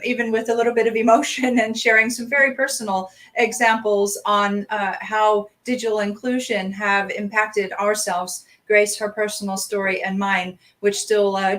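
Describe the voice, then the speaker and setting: A woman's voice: medium pitch, fairly even intonation, normal speed, few pauses, a bit bright. A woman moderating a panel over a video call, gracious with some fillers. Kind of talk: panel discussion talk.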